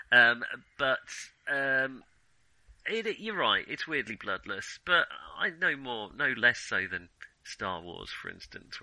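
British guy chatting about films, very bright sharp voice, dry close sound, kinda hesitant thinking out loud. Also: mid-range voice, no echo, low-quality recording. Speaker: film podcast co-host. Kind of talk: casual film discussion.

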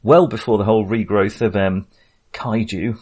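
A man's voice: on the low side, fairly even intonation, normal speed, darkish tone, breathy edge. Man, middle-aged, podcast host, casual film chat, jokey, self-deprecating.

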